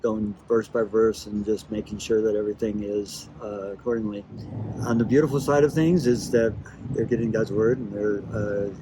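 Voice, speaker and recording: Missionary man, deeper register, halting casual interview delivery, noticeable insect and vehicle ambience. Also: fairly even intonation, noisy background. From Ecuador. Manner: halting, searching for words. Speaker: missionary and Bible translator. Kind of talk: casual promo video interview.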